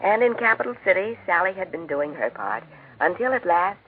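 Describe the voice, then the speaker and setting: An American man narrating a family Christmas story as a gentle 1940s radio storyteller. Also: sits high, sing-song, a little fast, soft background music. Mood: storytelling. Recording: tinny 1940s radio recording.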